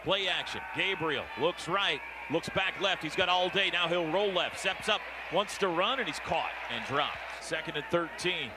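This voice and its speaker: A man's voice: high voice, moderate pace, few pauses. A man with an American accent calling football play-by-play live on the radio in clipped running descriptions. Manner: clipped running descriptions, building to shouts on big plays. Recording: radio broadcast over loud stadium noise.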